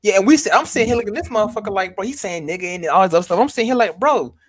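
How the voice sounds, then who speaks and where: A man hosting a casual podcast chat, telling stories in an animated way and swearing freely. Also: sits high, very fast. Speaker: podcast host.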